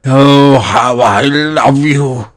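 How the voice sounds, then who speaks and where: A man's voice: medium pitch, extremely slow. A man playing an earnest, lovesick lover, ardent and melodramatic, in an audiobook verse drama. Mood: rapturous.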